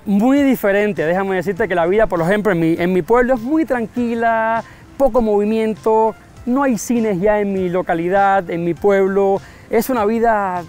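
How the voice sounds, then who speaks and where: A man with a Cuban accent answers questions at length in a street interview, his voice notably high. He circles back and repeats phrases while thinking aloud. Soft music plays under the sound of the street. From Cuba. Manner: talkative, thoughtful, repeats phrases. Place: outdoors on a city street.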